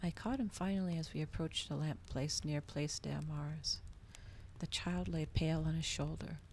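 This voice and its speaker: A woman reading a novel aloud in a hushed ASMR style, softly and breathily, often slipping toward a whisper. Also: deep voice, steady tone, normal speed, a little hiss or hum.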